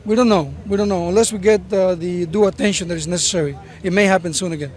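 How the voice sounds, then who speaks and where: A man's voice: sits high, fairly even intonation, fast talker, darkish tone. A man giving a news interview on the street, speaking hesitantly in formal, careful wording. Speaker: community spokesman interviewed on the street. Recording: 1970s newsreel, street and traffic noise.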